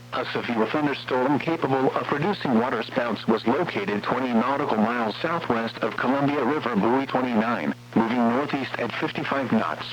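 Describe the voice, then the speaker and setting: A synthetic man's voice reading scripted weather warnings in a formal, measured, impersonal way, as an automated radio announcer. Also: mid-range voice. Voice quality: synthetic text-to-speech voice. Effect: radio broadcast. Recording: muffled weather radio with hum and noise.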